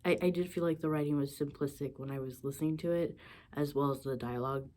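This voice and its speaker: A woman's voice: deep voice, steady tone, fast talker, dark tone. A young woman chatting casually in a vlog, coming down with a sore throat and a stuffy nose, laughing often.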